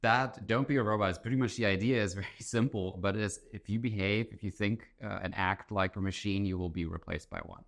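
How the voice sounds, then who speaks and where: Guy with a deep voice, podcast guest, explains stuff thoughtfully and casually. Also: brisk, creaky, hardly any pauses. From Germany. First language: German. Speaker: interview guest, author and entrepreneur. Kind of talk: conversational explanation.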